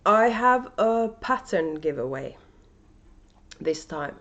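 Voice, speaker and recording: A Norwegian-accented woman talks casually and hesitantly to her camera at home, full of "uh"s and restarts. Her voice sits a little low for a woman. The camera audio sounds somewhat dull and muffled. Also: animated, slow, fairly bright tone. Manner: hesitant, many fillers and restarts. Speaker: knitting podcast host and yarn dyer. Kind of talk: casual unedited video podcast chat. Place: at home, indoors. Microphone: camera microphone.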